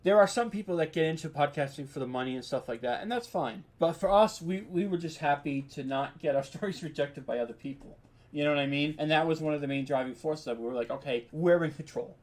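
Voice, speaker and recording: A man's voice: on the high side, fast. A man being interviewed as a podcast creator, chatting casually in an informal, slangy, rambling way. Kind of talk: casual chat.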